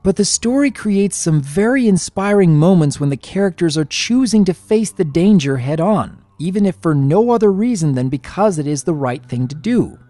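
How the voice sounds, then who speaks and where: A man giving scripted review commentary with formal, measured wording. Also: high-pitched, expressive, mellow, dry recording. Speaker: guest reviewer.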